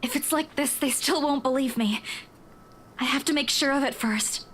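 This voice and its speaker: A woman's voice: sits high, moderate pace, fairly bright tone, a little hiss or hum. A woman playing a frightened, hesitant young office worker in a horror visual novel game. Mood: shaken, anxious.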